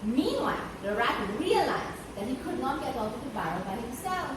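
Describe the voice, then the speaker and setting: A woman narrates a children's story aloud with lively intonation and deliberate phrasing. She sounds some way from the mic in a very reverberant room, with clear noise behind her. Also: high voice, recorded from a distance.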